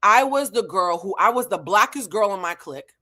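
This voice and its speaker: A woman's voice: medium pitch, lively intonation, brisk, pushed and bright. Blunt, emphatic American woman. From New England, United States. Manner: blunt, heavily profane, emphatic with rhetorical repetition. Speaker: online show host and emotional intelligence teacher. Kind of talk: opinionated reality show recap to camera.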